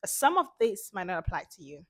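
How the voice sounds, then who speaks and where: A woman hosting an informal instructional talk as a confidence coach, speaking emphatically with repeated points and a slightly hoarse voice from a cold. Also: lowish voice, sing-song, a little fast, no room sound, clean studio sound.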